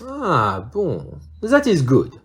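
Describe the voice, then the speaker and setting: Courteous Belgian male sleuth, French accent, husky timbre, hugely animated melody, formal audio-play delivery. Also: mid-range voice, very slow, heavily acted. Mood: pensive, shrewd. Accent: French-speaking Belgian. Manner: formal, theatrical, French interjections. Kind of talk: amateur audio play acting.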